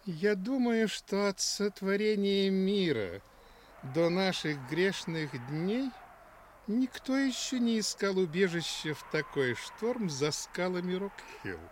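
Radio play: sanctimonious village clergyman, highish voice, slow, solemn delivery. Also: heavily acted. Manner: solemn, exclamatory. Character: venerable, pious village clergyman, sanctimonious and hesitant. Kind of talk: 1960s radio drama acting. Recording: 1960s radio, faint background noise.

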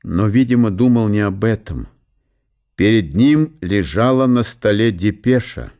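Unhurried man narrating a radio drama. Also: lowish voice, expressive, dark tone.

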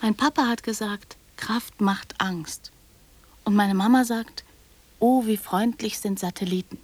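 A woman plays a naive little girl in a poetic radio drama, dreamy yet anxious. Her voice is husky and breathy. She talks slowly, with a childlike, plaintive manner, in a dry, close sound. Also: mid-range voice, darkish tone, no room sound, lightly acted.

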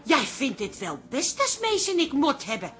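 Dramatic wife, wailing, exasperated, swooping pitch, sharp tone, radio play. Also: female voice, huge pitch swings, normal speed, exaggerated voice. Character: aggrieved, dramatic wife fed up with her meddling father. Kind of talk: radio comedy-drama acting.

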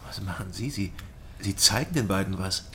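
An actor playing a curious, persistent investigator in a radio crime drama, questioning and narrating in a restrained, matter-of-fact way. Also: male voice, low-pitched, moderate pace, pushed and bright, a little hiss or hum.

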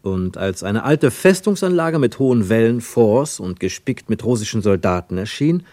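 A man narrating a literary radio play in a measured, precise, wry storytelling manner. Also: lowish voice, expressive, dark tone, dry recording, close to an everyday voice. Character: measured, wry male narrator of a travel tale.

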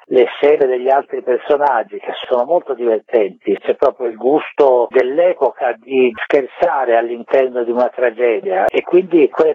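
A man's voice: mid-range voice, no room sound. A man giving conversational interview answers as a theatre director, explaining at length over a telephone. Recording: thin, muffled telephone line, husky signal.

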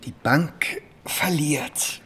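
A man's voice: a little high, extremely slow, pushed and bright, husky, whispered, full character voice. A man narrating history in a scripted radio play, knowing and ironic, in clipped, pointed phrases.

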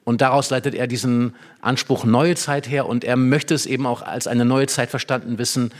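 A man with a mid-pitched voice talks as a literary expert in a conversation. He explains ideas step by step, offering illustrations and checking in with short tag questions. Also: fairly even intonation, normal speed.

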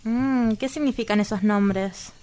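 Actress doing prim argentine woman in a radio play, highish voice, nosy, dry, easily grossed out. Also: measured pace, mellow, close to an everyday voice. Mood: wary curiosity. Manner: questioning, disdainful, dry. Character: prim, curious, sardonic woman, easily disgusted and judgmental. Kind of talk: radio drama dialogue.

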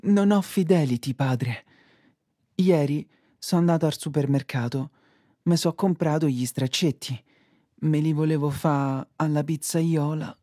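A bewildered, earnest son from a comic play. His voice is husky and Roman-accented, caught in a dry recording with no room sound. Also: fairly high pitch, unhurried, dark tone, no room sound. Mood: confessing, troubled. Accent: Italian, Roman. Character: bewildered, earnest adult son of a rambling father.

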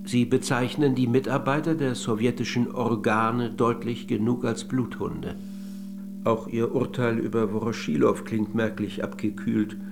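An actor playing a polite but probing middle-aged interviewer in a radio play, with measured, insistent questioning. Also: male voice, medium pitch, fairly even intonation, creaky, over music. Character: courteous but probing male interviewer of an old lady.

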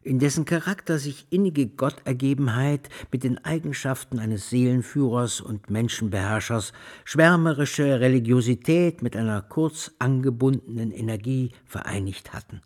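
An actor playing an erudite music lecturer in a radio drama, speaking in ornate, solemn, declamatory phrases with devout fervour. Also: male voice, medium pitch, moderate pace, darkish tone, natural voice. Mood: reverent storytelling.

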